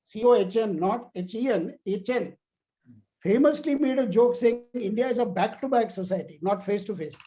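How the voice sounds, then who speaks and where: Older man, guest lecturer, mellow voice, academic question-and-answer, discursive, emphatic, anecdotal. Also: high-pitched, normal speed, a little hiss or hum.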